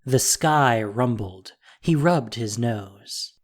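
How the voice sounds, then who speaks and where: A narrator reading a scripted fantasy audio drama in a measured, dramatic, descriptive way. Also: mid-range voice, lively intonation.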